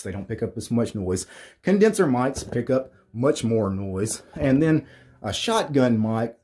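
A man in his thirties with a deep voice, casually walking through his home studio gear, rambling with fillers and restarts. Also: dark tone, breathy edge. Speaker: tech YouTuber. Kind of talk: casual equipment walkthrough. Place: small home studio with acoustic foam panels.

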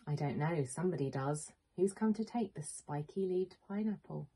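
A woman, a teacher reading a picture book to young children in an expressive, questioning manner. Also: low-pitched, normal speed, a little hiss or hum. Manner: expressive, questioning, clearly addressing small children. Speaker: primary school teacher. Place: small home room with bookshelves, indoors.

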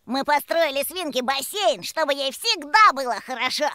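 A woman's voice: sits high, animated, normal speed, pushed and bright, dry recording, studio quality. A woman voicing a friendly, helpful young truck in an educational cartoon, eagerly addressing small children.

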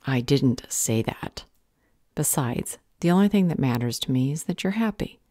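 Casting: female actor as caring vampire healer and mentor, calm and earnest; deep for a woman, close dry audio roleplay sound. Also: normal speed, no room sound. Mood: caring, reassuring.

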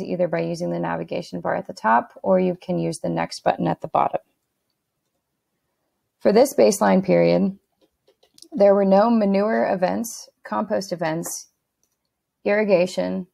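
A woman narrating a screen-recorded software walkthrough in a steady instructional tone, with a few fillers and restarts. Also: fairly low pitch, normal speed, creaky.

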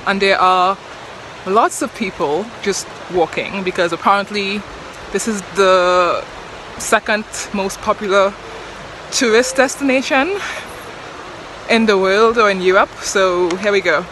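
A young woman vlogging casually while hiking, talking excitedly into a phone held at arm's length outdoors. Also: medium pitch. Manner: chatty, excitable, often laughing. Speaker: travel vlogger. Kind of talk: casual vlog narration. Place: outdoors on a mountain hiking trail by a stream. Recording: phone audio with rushing stream noise.

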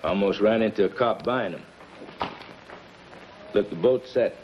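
An actor playing a loyal, weary middle-aged henchman, gruff and slangy, in a 1950s television crime melodrama. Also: on the low side, unhurried, lightly acted. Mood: gruff, exasperated. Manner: gruff, plain-spoken, slangy. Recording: muffled, noisy 1950s television soundtrack.

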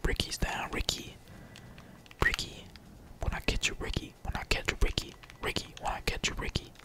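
A man whispering casual, slangy and sweary ASMR gaming commentary in a breathy, playful mutter, as a streamer talking to viewers. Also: normal speed. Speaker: gaming streamer, channel host.

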